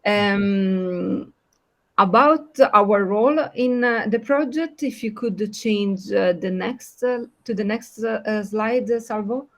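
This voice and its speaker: A woman from Italy with an Italian accent, presenting slides at an online project meeting, pausing often with filler sounds. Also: mid-range voice. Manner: hesitant, many filler sounds and restarts.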